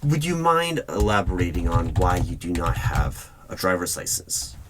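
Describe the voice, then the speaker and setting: A man playing a polite, guarded hiring manager in an ASMR interview roleplay, speaking aloud in a measured, formal, deliberate way with pauses. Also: low-pitched. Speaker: roleplay performer as job interviewer. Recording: steady noise with keyboard typing clicks. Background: computer keyboard typing and clicks.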